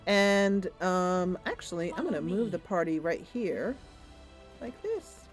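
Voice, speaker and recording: A woman narrates a roleplay livestream with very lively, varied intonation. She takes her time, chatting casually and telling the story in an animated way over faint music. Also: lowish voice, takes it slowly. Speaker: streamer and game narrator.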